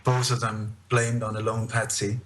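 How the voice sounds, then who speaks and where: A middle-aged man with a Scandinavian accent, recounting his research as a radio interview guest in a measured, halting way with repeated phrases. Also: on the low side, little pitch movement, fairly bright tone. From born in Denmark, emigrated to Sweden at eight.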